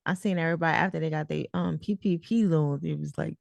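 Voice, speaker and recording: A woman's voice: sits low, fast, creaky, dry recording, highs cut off. A woman hosting a casual interview, chatting in an informal, slangy way with a playful, teasing streak. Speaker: host and interviewer.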